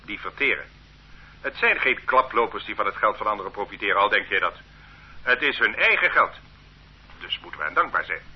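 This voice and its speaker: Stern, husky police interrogator. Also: male voice, on the low side, animated, brisk. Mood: lecturing, condescending. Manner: authoritarian, lecturing, dismissive. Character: stern, condescending male police officer interrogating a detainee. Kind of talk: radio drama acting. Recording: muffled old radio play recording.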